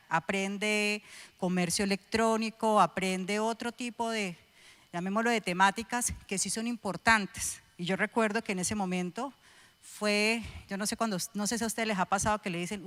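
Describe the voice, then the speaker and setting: A woman's voice: medium pitch, fairly bright tone. Middle-aged Colombian woman addressing a church. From Cúcuta, Colombia. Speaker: guest speaker, lawyer addressing a church. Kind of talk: personal testimony speech to a congregation.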